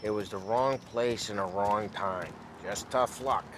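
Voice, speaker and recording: A man's voice: lowish voice, unhurried, background noise, natural voice. An actor playing a hot-headed American mobster henchman in a cinematic crime game, talking in blunt streetwise slang. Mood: low, persuasive. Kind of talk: cinematic crime drama acting.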